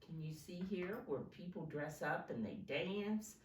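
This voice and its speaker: A woman with a low voice reads to young children in an instructive, simple storytelling style. Her intonation swings in a lively way as she explains and prompts. The recording is roomy and a bit distant, with faint noise behind her. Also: a little slow. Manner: simple, instructive, points out pictures and prompts listeners. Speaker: teacher reading a picture book to young children.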